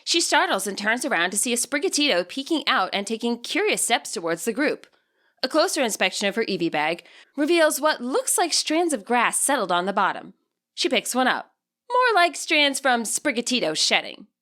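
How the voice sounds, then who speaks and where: Bright, expressive woman narrating a story. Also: fairly high pitch, moderate pace. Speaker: author and audiobook narrator. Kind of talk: scripted story narration, present tense.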